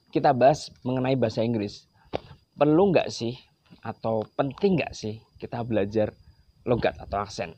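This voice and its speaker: Young man, from Indonesia, channel host; casual conversational explainer, middle pitch, filler-heavy. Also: warm tone, dry recording, highs cut off. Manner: conversational, with frequent fillers and hedges. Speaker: English teacher, channel host. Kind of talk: casual explainer talk to camera.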